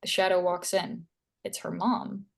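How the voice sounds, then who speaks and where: Lower-pitched woman narrating a script. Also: level tone, a little slow, vocal fry. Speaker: narrator reading stage directions. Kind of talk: scripted narration at a table read.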